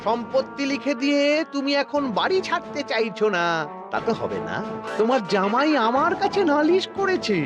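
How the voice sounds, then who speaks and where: A woman's voice: high-pitched, big pitch swings, moderate pace, music in the background. A woman playing a greedy, pompous male royal minister in a cartoon, with a blustering manner. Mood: blustering, indignant. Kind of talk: cartoon dialogue.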